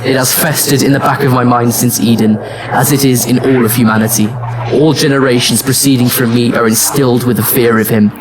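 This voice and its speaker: A man's voice: medium pitch, fairly even intonation, brisk, over music, lightly acted, low-quality recording. A man acting as a haunted immortal who narrates his own tale in an audio drama. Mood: grave, awed. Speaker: narrator and lead actor.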